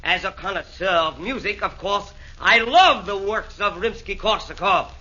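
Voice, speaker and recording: A fussy, music-snob Nero. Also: male voice, high-pitched, lively intonation, moderate pace, pushed and bright, highs cut off, exaggerated voice. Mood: scheming. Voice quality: affected, connoisseur airs. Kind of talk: 1940s radio acting.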